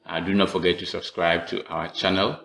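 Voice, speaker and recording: A man in his thirties with a Ghanaian accent, speaking haltingly as a teacher introducing an instructional video. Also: deep voice, level tone, vocal fry, a bit bright. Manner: halting, measured delivery with pauses mid-phrase. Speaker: teacher, channel host. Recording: echoey room with faint background noise.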